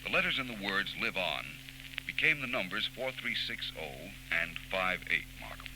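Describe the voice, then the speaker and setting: Through heavy hiss and hum of an old radio recording comes a very low-voiced private detective. He is suave and sure of himself, laying out his reasoning with precise, cool confidence in 1940s radio style. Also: very animated. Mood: cool, assured. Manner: precise, unhurried, coolly confident explanations. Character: suave, self-assured male private detective explaining his case.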